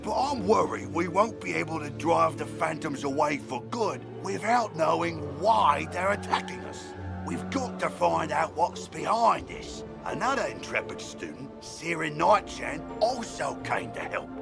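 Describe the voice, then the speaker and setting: Earnest, creaky-voiced guard. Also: male voice, a little high, measured pace, exaggerated voice. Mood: earnest, concerned. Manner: earnest, expressive. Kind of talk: video game character acting. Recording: music behind the voice.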